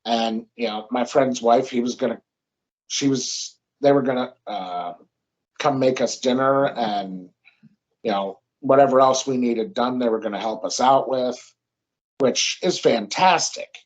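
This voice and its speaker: A man's voice: mid-range voice, a little slow. A young man, a new father, talking casually and reflectively on a podcast, rambling with lots of fillers. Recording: dull lo-fi sound.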